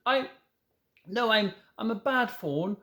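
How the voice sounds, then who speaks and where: A man reading a storybook, voicing a gentle, guilty male faun who speaks haltingly through sobs. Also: high voice, animated, voice pushed up, heavily acted. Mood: sobbing. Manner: sobbing, halting.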